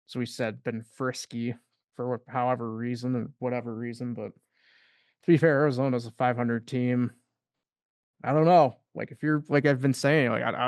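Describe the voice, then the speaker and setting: A man's voice: medium pitch, dry recording, clean studio sound. A man co-hosting a sports podcast, talking casually and loosely with lots of fillers. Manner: loose and rambling, heavy on fillers.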